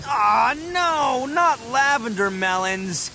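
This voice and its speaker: A man's voice: very high-pitched, expressive, slow pace, noisy background, exaggerated voice. An actor playing a boastful, boisterous young male oni brawler in a video game, with brash, theatrical, goofy bragging. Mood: deflated, bouncing back.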